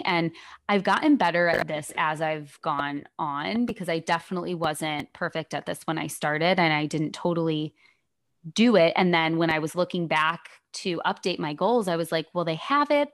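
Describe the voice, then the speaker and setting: A woman in casual podcast talk with a somewhat low, slightly strained voice that cuts in and out. She explains her points conversationally. The sound is close and dry. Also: no room sound. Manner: explains practical tips conversationally. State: voice going in and out, clearing throat. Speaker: podcast co-host, speech-language pathologist. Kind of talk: casual professional podcast chat.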